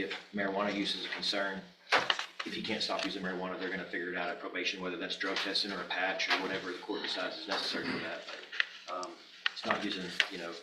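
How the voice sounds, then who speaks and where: A man with an American accent arguing haltingly and in a conciliatory way as a defense attorney, on an echoey, distant, very noisy courtroom recording. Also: low-pitched. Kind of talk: courtroom argument.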